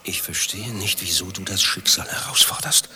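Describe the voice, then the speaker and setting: An actor playing a cowardly, jealous married lover in a radio play, with a theatrical manner that moves between indignation and hushed pleading. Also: male voice, sits low, normal speed, faint music behind. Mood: reproachful, defensive. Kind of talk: dialogue acting in a radio play.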